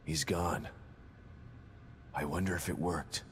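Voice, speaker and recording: Very low, weary grim detective. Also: male voice, fairly even intonation, slow pace, slight background noise. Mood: wary, brooding. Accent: American. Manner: brooding, skeptical. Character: weary, grim, guarded male detective father. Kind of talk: video game cutscene acting.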